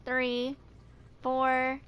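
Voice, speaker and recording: A woman's voice: high voice, monotone, extremely slow, a bit bright, clear, resonant tone. A woman in her twenties chatting casually and reading video game lore aloud as a gaming creator. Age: 20s.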